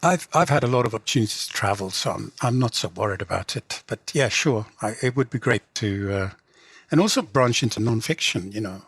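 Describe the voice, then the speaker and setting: Halting middle-aged man, dry close sound. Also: lowish voice, animated, fairly bright tone, breathy edge, no echo. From England. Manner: halting, many restarts and "you know" fillers. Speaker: guest translator and novelist. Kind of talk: casual interview chat.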